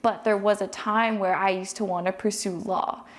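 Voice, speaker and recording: A young college woman with a mid-range voice talks in a relaxed, casual way, often using "like". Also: medium pitch, moderate pace. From Hawaii. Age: young adult. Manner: relaxed, many "like" fillers. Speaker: student panelist. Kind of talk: casual chat.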